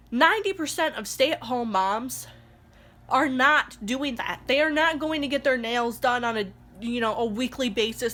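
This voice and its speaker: A woman's voice: sits high, fairly bright tone. A young woman, a stay-at-home mom, talking casually to her camera at home with a stuffed-up nose from allergies, rambling and heartfelt. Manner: rambling and heartfelt, growing indignant. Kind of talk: casual vlog talk to camera. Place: indoors at home.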